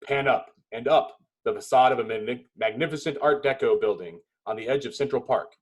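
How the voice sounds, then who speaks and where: A man reading stage directions as narrator at a table read, in dramatic, clipped phrases with pauses. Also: medium pitch, rough recording.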